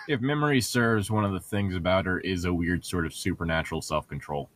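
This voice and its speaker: A man's voice: low voice, fairly quick, a bit bright, slight background noise. A man co-hosting a podcast in casual joking banter over a book being read aloud, sarcastic and teasing. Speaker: podcast co-host.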